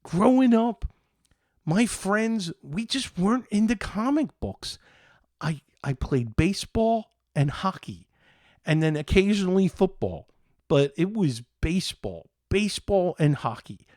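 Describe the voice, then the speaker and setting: A middle-aged man from Philadelphia with an American accent, hosting a casual podcast in a halting, meandering, enthusiastic way. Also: high voice, sing-song, a little mellow, no echo, studio quality. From Philadelphia, United States. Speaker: show host.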